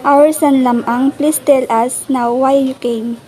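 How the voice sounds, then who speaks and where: Bride's noble parent played by a woman, high and slightly mellow, formal, measured authority. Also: fairly even intonation, rough recording. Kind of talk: readers' theater of a folk epic.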